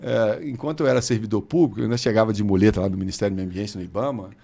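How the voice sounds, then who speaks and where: Gravelly-voiced Brazilian man in his fifties. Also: on the low side, steady tone, rapid-fire, warm tone. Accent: Brazilian Portuguese. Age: 50s. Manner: chatty, laughs often while telling stories. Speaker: guest. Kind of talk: casual interview chat.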